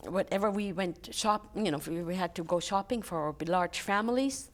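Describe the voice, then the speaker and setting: Older lady, german is her first language, lowish voice, stops and restarts a lot in a tv studio chat. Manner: warm, halting, many fillers and restarts. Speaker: guest, charity volunteer. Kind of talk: casual interview chat. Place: local TV studio.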